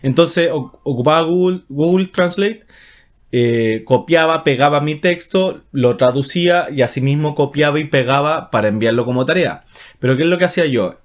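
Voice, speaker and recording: A man's voice: fairly high pitch, normal speed. A man with a Chilean Spanish accent talking casually and rambling, with many fillers and self-corrections as he explains his path as a writer. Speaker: writer and channel host. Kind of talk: casual monologue.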